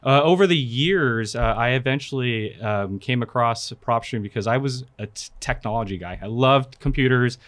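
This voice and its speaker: Man, from the Philippines, California-raised, mid-pitch, friendly, talkative, uh fillers. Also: normal speed, hardly any pauses, a bit bright. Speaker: guest, product manager. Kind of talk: casual podcast interview.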